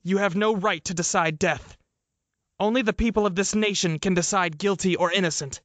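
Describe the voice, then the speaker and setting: A man's voice: high voice, fairly quick, a bit bright, no room sound. A man playing a cautious, argumentative politician on the run, emphatic and questioning, in an audio drama. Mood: defiant.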